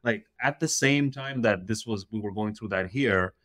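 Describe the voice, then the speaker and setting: A man in his forties from Russia, a comedian guest on a podcast, telling stories in an animated way, laughing mid-sentence and acting out quoted voices. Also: fairly low pitch, normal speed, no room sound, clean studio sound. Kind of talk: casual podcast conversation.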